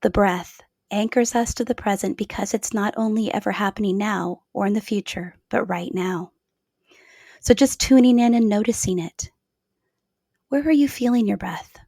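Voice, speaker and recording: A woman leading a guided meditation in a slow, soothing, soft-spoken way with gentle pauses. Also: mid-range voice, no room sound, clean studio sound. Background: new-age music.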